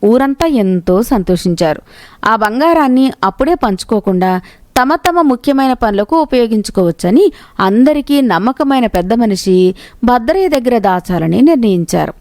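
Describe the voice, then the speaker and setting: A woman's voice: a little high, lively intonation, moderate pace, darkish tone, dry recording. A woman narrating a scripted moral story.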